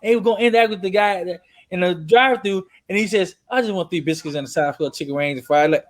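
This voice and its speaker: A man hosting a casual conversation, telling anecdotes in an animated way and acting out quotes. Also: high-pitched, fast talker. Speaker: podcast host. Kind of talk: casual chat.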